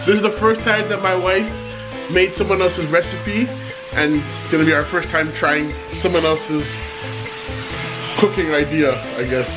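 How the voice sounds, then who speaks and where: A man's voice: a little high, measured pace, music in the background, poor audio. A man cheerfully hosting a family vlog, joking and bantering from his home kitchen. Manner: upbeat, joking host banter. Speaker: family channel host. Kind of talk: casual vlog chat.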